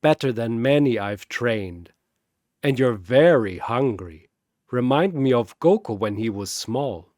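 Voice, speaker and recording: A man voicing a calm, ancient male genie servant who speaks slowly and softly. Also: medium pitch, dry recording, studio quality, close to an everyday voice. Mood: serene. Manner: slow, soft, serene.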